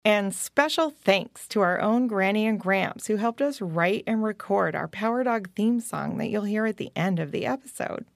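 An American woman reads scripted podcast credits as the host. Her voice sits at a medium pitch, and the recording is dry with very little room sound. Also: animated, normal speed, vocal fry, few pauses, dry recording.

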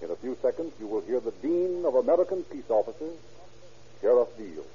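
A man's voice: mid-range voice, sing-song, brisk. A male announcer with a formal, ceremonious 1930s radio delivery, on a dull old recording.

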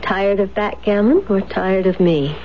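A woman's voice: mid-range voice, unhurried, close to an everyday voice. Dull noisy broadcast, scheming rich wife played by a woman, poised, needling, 1970s radio drama. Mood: cool, challenging. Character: cool, scheming, single-minded wealthy wife.

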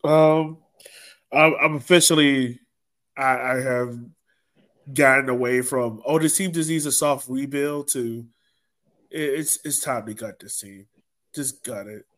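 A man's voice: mid-range voice, a little slow, a bit bright. Casual male sports podcast host.

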